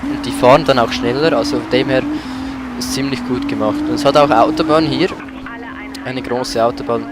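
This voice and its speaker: A man hosting a let's play in a casual, conversational way. His voice sits at a medium pitch. He comments as he plays, pausing now and then to search for the right word. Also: loud music behind the voice.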